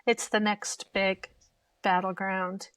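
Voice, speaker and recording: A woman's voice: mid-range voice, flat delivery, slow, a bit bright, no echo. A woman from Wales with a Canadian-tinged accent, a guest on a political podcast, talking earnestly with dry asides. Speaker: guest journalist. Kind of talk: casual political chat.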